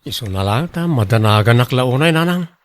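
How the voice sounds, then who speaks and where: A man's voice: medium pitch, expressive, a little fast, dry recording, lightly acted. An actor playing a humble, insecure young working man in love, earnest and hesitant, in an Ilocano radio drama.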